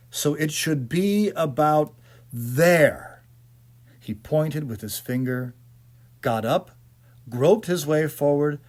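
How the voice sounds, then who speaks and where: A man narrating a suspense story in a dramatic, theatrical manner with hesitant phrasing. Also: medium pitch. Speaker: audiobook narrator.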